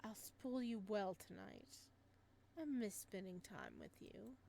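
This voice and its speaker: A woman voicing a calm, doting male florist who speaks in a soft, measured way. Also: medium pitch, vocal fry, background noise. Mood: tender.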